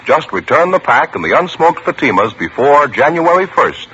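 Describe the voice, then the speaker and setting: A man announcing a 1950s radio commercial with an upbeat, persuasive sales pitch. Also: big pitch swings, moderate pace, pushed and bright. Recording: dull old radio broadcast with hiss.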